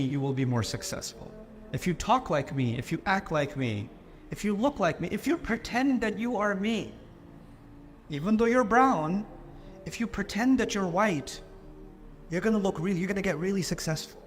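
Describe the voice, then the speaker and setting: Animated, high-voiced male Islamic lecturer. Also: normal speed, soft background music. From Pakistan. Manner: animated, with mocking quoted voices. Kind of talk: lecture to a live audience.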